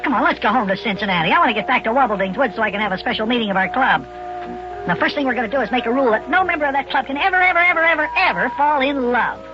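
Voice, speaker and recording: An actor voicing an excitable, whiny little elf boy with a small boyish voice in a children's radio serial. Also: medium pitch, rapid-fire, close to an everyday voice. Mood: indignant, grumbling. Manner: exclamatory, whiny when put out. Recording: muffled old radio recording with loud music.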